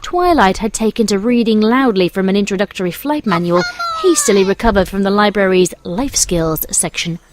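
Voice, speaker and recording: A woman's voice: medium pitch, animated, moderate pace, few pauses, noisy background. A woman reading scripted storybook narration as the narrator.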